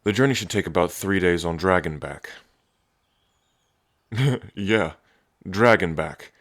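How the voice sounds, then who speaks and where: A man's voice: slow, dry recording, clean studio sound. A man playing a cocky, teasing dragon prince and protective big brother in an ASMR audio drama. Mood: teasing, smug. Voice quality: deep, low. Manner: smug, flirtatious, teasing, sweary.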